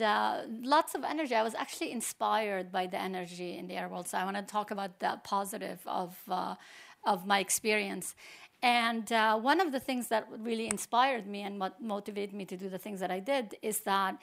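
A middle-aged woman from Palestine speaks as a panel guest, her voice carrying a gravelly creak. She talks off the cuff, pausing and restarting her sentences as she goes. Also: mid-range voice, a bit bright. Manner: hesitant, many restarts and fillers. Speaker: panel guest, computer science professor. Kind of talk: unscripted panel talk.

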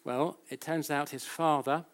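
A man's voice: a little high, steady tone. A British man giving an academic lecture in a conversational, explanatory way, often repeating and correcting himself and posing rhetorical questions. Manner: halting, self-correcting, with frequent repetitions. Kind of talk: public lecture, explanatory and anecdotal.

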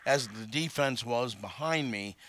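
A man's voice: mid-range voice, a little slow, crowd noise. A man co-hosting a sports radio show, talking casually as a baseball reporter.

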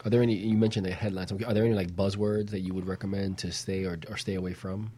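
A man's voice: deep voice, flat delivery, fast talker, dark tone, a little hiss or hum. A man co-hosting a talk show, asking questions in a casual, chatty way.

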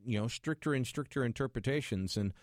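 A man's voice: mid-range voice, a little fast, no echo, clean studio sound. A man hosting a talk radio call-in show in a conversational, jokey manner.

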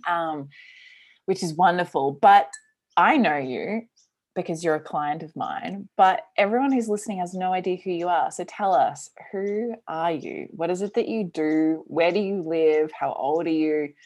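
A woman's voice: on the low side, normal speed, darkish tone. A woman hosting a casual podcast interview as a coach, chatty and enthusiastic. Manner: enthusiastic, chatty, laughs often.

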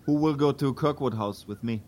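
A man's voice: medium pitch, a little fast, darkish tone, close to an everyday voice. A man playing a big, earnest Prussian immigrant plotter with a German accent in a historical drama. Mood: eager, questioning. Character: big Prussian immigrant conspirator, resolute yet uneasy. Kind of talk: historical audio drama acting.

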